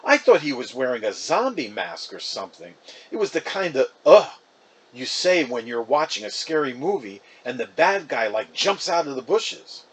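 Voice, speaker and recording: A man reading a children's novel aloud as expressive, conversational first-person narration. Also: mid-range voice, moderate pace, breathy edge.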